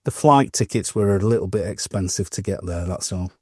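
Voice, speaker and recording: A man's voice: low-pitched, fairly quick, dark tone, slightly rough, dry recording, studio quality. A man co-hosting a modelling podcast, telling shopping stories in a chatty, rambling way. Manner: chatty storytelling with laughs and restarts. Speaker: podcast co-host. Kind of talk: casual chat.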